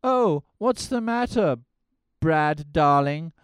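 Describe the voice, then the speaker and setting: A man voicing a prim, dramatic young fiancée in a fluttering falsetto. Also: animated, slow pace, clear, resonant tone, no echo, studio quality. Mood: fretful. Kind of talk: parody of a musical film.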